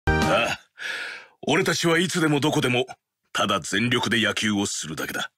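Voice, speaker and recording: An actor playing an earnest, dignified young baseball captain with a sincere manner in an anime-style game. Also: male voice, medium pitch, big pitch swings, fairly quick, close to an everyday voice. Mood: sincere, resolute. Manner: sincere, solemn. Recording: loud game music behind the voice.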